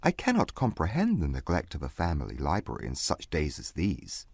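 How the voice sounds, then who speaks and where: Proud, grave young gentleman male actor, reserved and formal, husky, dry close sound, period audiobook. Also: a bass voice, wildly swooping pitch, fairly quick, dry recording, heavily acted. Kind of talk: dramatized audiobook acting.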